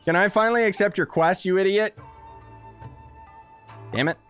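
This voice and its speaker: A young man giving casual, joking let's-play commentary with blunt, quick reactions. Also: sits high, a bit bright, faint music behind. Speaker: gaming streamer.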